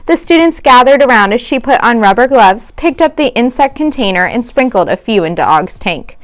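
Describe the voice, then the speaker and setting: A woman teacher reading a children's storybook aloud in an expressive way. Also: a little high, normal speed. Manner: expressive, acts out dialogue.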